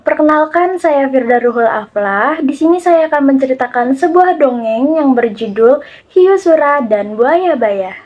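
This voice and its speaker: A woman's voice: sits high, animated, unhurried, darkish tone, dull audio. A young woman reading a scripted children's fable as narrator. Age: young adult. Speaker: student storyteller.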